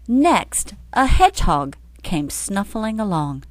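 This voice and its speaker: A woman's voice: mid-range voice, sing-song, slow, no echo. A woman reads a picture book aloud in a dry, close recording. She takes her time, placing emphasis with care as a storyteller would. Her intonation swings widely through each phrase. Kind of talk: expressive scripted storybook narration.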